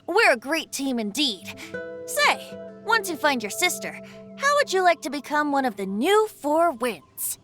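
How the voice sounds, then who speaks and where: A youthful boy bard with an ancient god's soul in a video game. The voice sits fairly high, lilting and theatrical, with a teasing, playful temper. Music plays behind it. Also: very animated, measured pace. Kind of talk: video game character dialogue.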